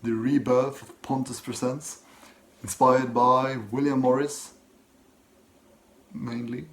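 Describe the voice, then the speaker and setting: A Swedish man with a Swedish accent, speaking English as his second language, who reads viewer questions aloud and answers them softly and hesitantly from his desk at home. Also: mid-range voice, level tone, takes it slowly. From Sweden. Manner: soft-spoken, hesitant, many ums and restarts. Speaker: book channel host. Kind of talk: casual Q&A vlog, reading and answering questions.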